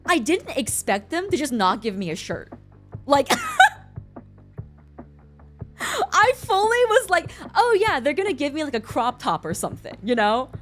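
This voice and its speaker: Casual livestreamer: young woman, American accent, Mandarin first language, lively swooping pitch, chatty and giggly, faint music underneath. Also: a little slow, pauses often. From born in China, raised in the US from about age six. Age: young adult. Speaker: streamer.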